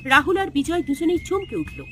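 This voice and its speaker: A woman narrating a scripted horror story in a dramatic, expressive storytelling style. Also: very high voice, a little fast. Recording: music under the voice.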